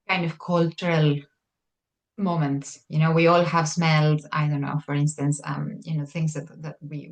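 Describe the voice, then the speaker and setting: A woman with a notably low voice speaks thoughtfully as a perfumer and psychotherapist in a conversational interview. She pauses often, with many fillers and restarts.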